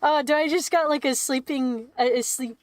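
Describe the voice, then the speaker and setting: A woman's voice: high-pitched, normal speed, no echo. A young woman streaming a game, chatting casually, thinking aloud and giggling often. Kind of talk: casual game commentary, reading dialogue aloud.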